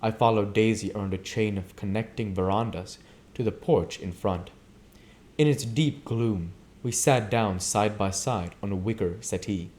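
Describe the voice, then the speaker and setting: A man reading a classic novel aloud as an amateur audiobook reader, with deliberate, emphatic, theatrical delivery. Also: on the low side, darkish tone.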